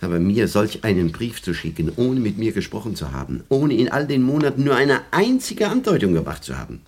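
A man's voice: lowish voice, huge pitch swings, a little fast, a little mellow, husky, exaggerated voice. A man acting an older, dignified bank director and father in a German radio play, formal and wounded. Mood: hurt, resigned.

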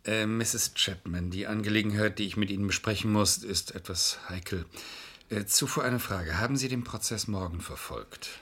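A persuasive, probing male lawyer in a seventies radio crime play. His voice is low and husky, and he speaks in a measured, polite way. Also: fairly bright tone. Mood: polite, careful. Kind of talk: German radio crime drama acting, 1970s.

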